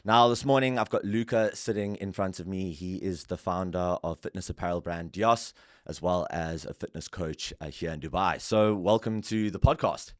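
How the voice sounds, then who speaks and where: A man hosting an interview podcast with a very deep voice. He asks questions in a friendly way, often stumbling over repeated words. The sound is dry and close, with no room echo. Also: moderate pace, few pauses. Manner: friendly, stumbling repetitions when asking questions. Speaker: podcast host.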